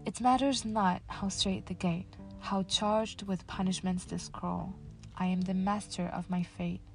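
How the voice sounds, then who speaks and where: A woman's voice: mid-range voice, fairly even intonation, unhurried, vocal fry, faint music behind. Earnest young woman podcaster. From Casablanca, Morocco. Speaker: podcast host. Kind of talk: scripted motivational podcast narration.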